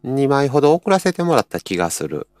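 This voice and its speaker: A guest chats informally. The pitch moves around in a lively way, and the sound is very dry, with no room on it. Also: a little fast, no echo, faint music behind. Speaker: guest with a sound and stage background. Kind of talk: casual chat.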